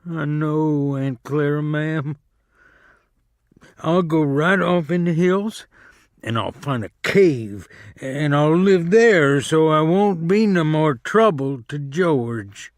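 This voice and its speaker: A man's voice: takes it slowly, no echo, clean studio sound. A man doing a frightened simple-minded big man. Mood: pleading. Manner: high, childlike, desperate pleading.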